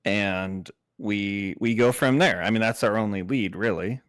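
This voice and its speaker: A man playing a tabletop game, chatting casually in character in a measured, agreeable and polite way. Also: lowish voice, clear, resonant tone, no echo, clean studio sound. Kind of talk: casual in-game roleplay chat.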